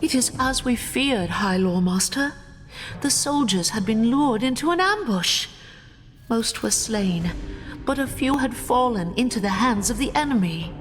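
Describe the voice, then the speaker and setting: A composed elven warrior for a video game, mid-pitched and formal. She sounds proud and grave, her words trailing into a long reverb over clearly heard music. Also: animated, a little slow. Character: proud, solemn female elf warrior hunter. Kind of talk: dramatic video game voice acting.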